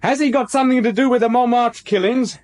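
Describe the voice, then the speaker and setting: A man's voice: very high-pitched, steady tone, darkish tone. A man playing a pushy, eager crime reporter in a radio drama, firing insistent questions and refusing to give up. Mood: eager, persistent. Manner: insistent, rapid-fire questioning.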